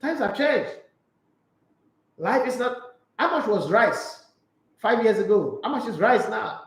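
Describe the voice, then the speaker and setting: A man hosting a sports talk show, reading news and viewer comments with animated, opinionated asides. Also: high voice, a little slow, roomy sound, poor audio.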